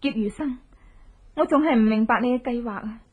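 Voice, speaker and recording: An actress with a Hong Kong Cantonese accent plays a determined, desperate widow bent on revenge in an intense, melodramatic horror radio drama. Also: female voice, a little high, brisk, breathy edge. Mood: urgent, eager. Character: vengeful widow in hiding, determined, desperate, posing as beggar. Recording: muffled lo-fi radio drama recording.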